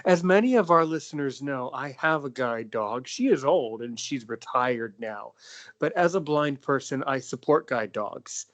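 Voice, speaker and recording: A young man hosts a casual podcast chat at a middle pitch. He rambles a bit, with plenty of fillers and restarts as he tells his story. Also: lively intonation, unhurried, fairly bright tone. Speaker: podcast host.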